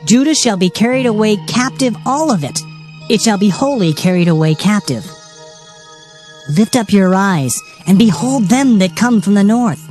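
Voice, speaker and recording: A woman's voice: medium pitch, expressive, moderate pace, a little mellow, faint music behind. A woman narrating dramatized scripture in a solemn, declamatory manner. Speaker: Bible narrator.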